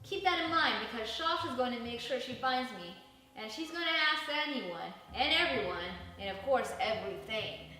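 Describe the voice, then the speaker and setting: Chatty, bemused boy storyteller, played by a woman, bright and lively, confiding, recorded in very echoey space. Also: on the high side, moderate pace, slight background noise. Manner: conversational, confiding asides. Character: chatty, bemused young boy addressing the audience. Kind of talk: children's storybook character reading. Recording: echoey room, distant mic.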